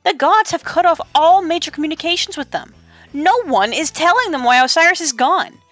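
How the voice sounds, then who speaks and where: A woman voicing a proud, indignant queenly goddess in an audio drama, speaking sharply and forcefully. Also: very high voice, lively intonation, normal speed, soft background music, voice pushed up. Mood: impassioned, pleading.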